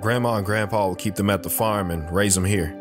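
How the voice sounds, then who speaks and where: Low-voiced brooding soldier played by a man. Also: fairly even intonation, brisk, natural voice. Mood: resigned. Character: reflective, stoic young soldier, husband and father. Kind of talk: audio drama first-person narration and dialogue. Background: guitar music.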